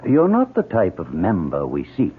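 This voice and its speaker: An actor playing an imperious, sanctimonious cult leader in a radio drama, speaking in a formal, oratorical, coolly menacing way. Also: male voice, sits low, huge pitch swings, moderate pace, slightly rough, exaggerated voice. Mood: haughty. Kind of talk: old-time radio drama acting. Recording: thin, tinny old radio broadcast.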